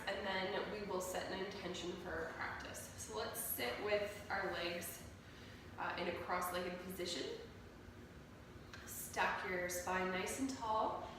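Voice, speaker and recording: Yoga teacher, female, slightly nasal from a cold, calm unhurried cueing, distant mic in a very echoey room with steady noise. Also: medium pitch. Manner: calm, slow cueing of poses and breaths. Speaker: yoga instructor. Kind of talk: guided yoga class instruction.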